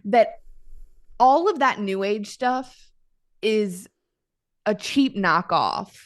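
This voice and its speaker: A woman's voice: medium pitch, lively intonation. A woman speaking as a podcast guest, a mother talking about faith in a candid, emphatic way. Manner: emphatic, candid, quick to exclaim. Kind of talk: casual faith conversation.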